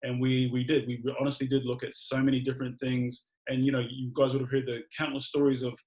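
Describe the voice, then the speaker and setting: Aussie guy, talks quick, mid-pitched, telling his story straight to the listeners, repeats himself. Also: monotone, darkish tone, faint music behind, rough recording. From Australia. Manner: repeats phrases, addresses listeners directly. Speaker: guest speaker telling personal story. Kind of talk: conversational testimonial storytelling.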